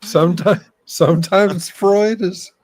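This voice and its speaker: A man's voice: high voice, steady tone, slow, darkish tone. A man hosting a casual podcast chat, giving laid-back reactions. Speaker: podcast host.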